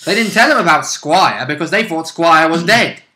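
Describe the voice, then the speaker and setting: Male gamer co-host, fairly high bright voice, casual banter about the game, echoey room. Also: animated, normal speed. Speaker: player co-hosting a game recap.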